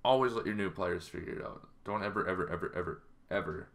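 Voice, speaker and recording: A man in his thirties talking casually to camera in an explanatory way, halting and improvised, in a small bedroom. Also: deep voice, a bit bright. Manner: halting, loosely improvised delivery. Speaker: tabletop roleplaying game vlogger.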